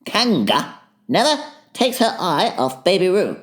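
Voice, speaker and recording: A self-important storybook rabbit, acted out by a man, pitched well up and very slow. He issues orders and numbered points with assured authority. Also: very animated, fairly bright tone, heavily acted. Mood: officious, proud. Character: bossy, self-assured male rabbit who makes plans. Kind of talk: dramatized storybook audiobook.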